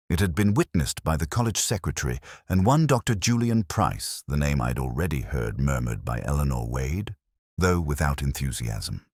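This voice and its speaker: Guy doing a world weary older detective narrating his case, super deep voice, wry and brooding, dry close sound. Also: husky, no room sound, heavily acted. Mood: suspicious, pensive. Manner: measured, literary, wry and melancholy. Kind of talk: scripted first-person mystery audio drama narration.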